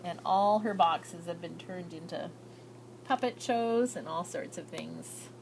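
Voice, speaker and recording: A woman's voice: on the low side, measured pace, gravelly. Woman reading a picture book, noisy recording. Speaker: reader of a children's picture book. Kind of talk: read-aloud storytelling of a picture book.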